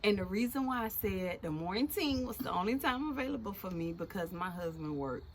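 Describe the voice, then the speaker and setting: A woman in her forties chatting casually and laughing loudly with an old friend for her video. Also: mid-range voice, a little fast, a little hiss or hum. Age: 40s. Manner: loud laughing, expressive, slangy. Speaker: vlog host.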